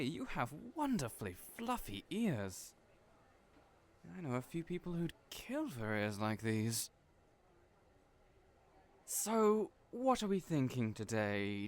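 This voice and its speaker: An actor in an audio roleplay playing a cheerful, chatty hairdresser who is theatrical, effusive and playfully teasing. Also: mid-range voice. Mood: cheerful, soothing.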